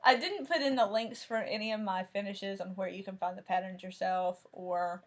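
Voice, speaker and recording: A woman's voice: medium pitch, fairly even intonation, normal speed. A woman with a thick Southern Tennessee accent chatting casually and rambling a bit as she hosts a crafting vlog. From Tennessee, USA. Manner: chatty and rambling, with frequent fillers and self-corrections. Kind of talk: casual chat about cross-stitch projects.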